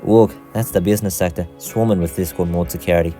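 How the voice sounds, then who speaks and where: A man voicing a principled, idealistic outcast vigilante leader in an amateur animated series, giving earnest little speeches. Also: low-pitched, fast talker, soft background music, lightly acted. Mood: earnest, reassuring.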